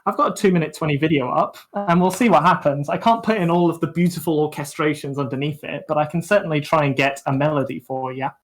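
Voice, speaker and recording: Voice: mid-range voice, quick pace, hardly any pauses. A young adult hosting a livestream, chatting casually in a playful, self-mocking way. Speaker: livestream host and kazoo performer.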